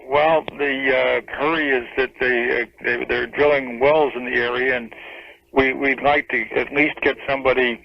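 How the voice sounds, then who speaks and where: A middle-aged or older man calling a radio show, explaining his question in a halting, hesitant way. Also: mid-range voice, fairly even intonation, gravelly, a little hiss or hum. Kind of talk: phone-in question to a host. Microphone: telephone. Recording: muffled phone call on old radio.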